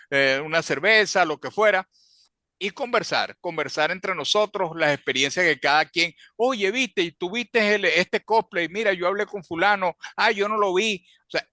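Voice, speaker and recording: A man's voice: fairly high pitch, pushed and bright, dry recording, clean studio sound. A man from Venezuela with a Venezuelan accent, talking casually and at length about memories, often adding little check-in questions. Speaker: fan club member. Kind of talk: casual reminiscing in a group talk.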